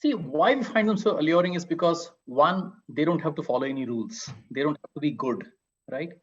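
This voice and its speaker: A man's voice: on the high side, a little fast, slightly rough, dull audio. A man with an Indian accent explaining as an author on a literary panel, checking in with rhetorical questions.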